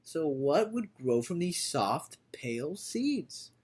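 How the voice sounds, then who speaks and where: A man reading a storybook aloud as a dramatic, theatrical storyteller who moves between hushed and loud delivery. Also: a little high, very animated, takes it slowly, breathy edge. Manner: theatrical, swinging from hushed to shouting. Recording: orchestral music behind the voice. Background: orchestral music.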